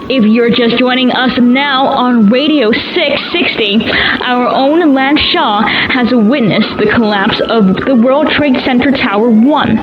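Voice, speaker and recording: A woman's voice: a little high, lightly acted. A woman playing a composed but shocked radio news anchor covering breaking news in an audio drama. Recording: noisy, muffled radio broadcast sound.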